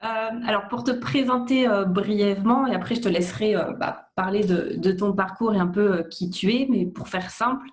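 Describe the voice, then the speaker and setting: A woman's voice: medium pitch, moderate pace, few pauses. A woman hosting an interview, speaking hesitantly with many fillers and restarts. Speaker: interviewer, host. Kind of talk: casual interview.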